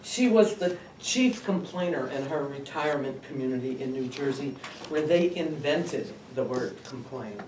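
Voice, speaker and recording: A man's voice: mid-range voice, expressive, normal speed, few pauses, natural voice. A man playing a bitter, twice-divorced woman in a comic stage monologue, with a dry, complaining manner. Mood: aggrieved. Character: aggrieved twice-divorced woman named Pat, bitter about her mother. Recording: distant, roomy, noisy live recording.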